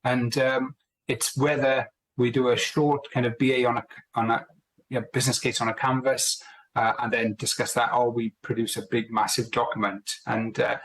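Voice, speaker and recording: A man's voice: medium pitch, flat delivery, normal speed, a bit bright, poor audio. A man co-authoring a book, chatting casually in an interview and often restarting his sentences. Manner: rambling, restarts sentences, friendly. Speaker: co-author guest.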